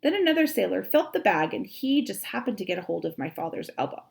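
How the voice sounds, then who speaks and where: A woman reads a children's story aloud like a teacher, expressively, with dramatic emphasis and drawn-out words. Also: medium pitch, big pitch swings, vocal fry, a little mellow.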